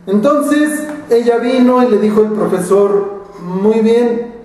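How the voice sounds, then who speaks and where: A man with a Mexican accent, an acting teacher telling anecdotes in a slow, deliberate lecture. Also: very high voice, a little mellow, a little hiss or hum. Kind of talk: storytelling lecture. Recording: very echoey room, far from mic.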